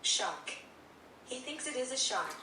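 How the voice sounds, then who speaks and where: A woman's voice: high-pitched, big pitch swings, unhurried, bright, forceful tone, a little hiss or hum. A synthetic woman's voice from a communication device, saying words and short phrases one at a time. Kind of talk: synthetic speech, word by word. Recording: tablet speaker in echoey room, distant.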